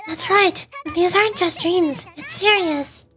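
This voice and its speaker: Woman doing a very high, excitable fairy sidekick. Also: unhurried, faint music behind. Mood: insistent. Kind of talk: dramatic read-aloud of game dialogue.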